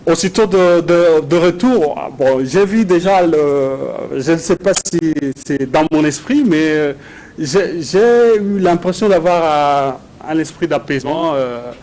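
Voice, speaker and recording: A man with a Congolese French accent answering interview questions haltingly, often repeating words as he searches for phrasing. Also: fairly high pitch, expressive, darkish tone. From Democratic Republic of the Congo. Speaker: guest, researcher and doctoral student. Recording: clear background noise and ambience.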